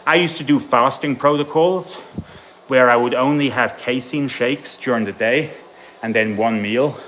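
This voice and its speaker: A man who was born in Tennessee and grew up in Ireland talks loosely and chattily, a bodybuilding coach answering his live chat between sets. His voice sits at an ordinary middle pitch. Faint gym noise runs behind him. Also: a bit bright, rough recording. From born in Tennessee, raised in Ireland. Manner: chatty, rambling, answers chat while training.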